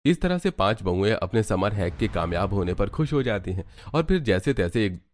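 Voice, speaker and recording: Low-voiced, animated male storyteller. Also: normal speed. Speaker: narrator. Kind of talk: scripted cartoon story narration in Hindi.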